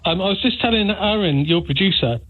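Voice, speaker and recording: A man calling in to a radio phone-in, telling a gossipy, animated story and giggling as he goes. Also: sits high, a little fast. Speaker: caller. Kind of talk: chatty phone-in call. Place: Taunton. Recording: phone line.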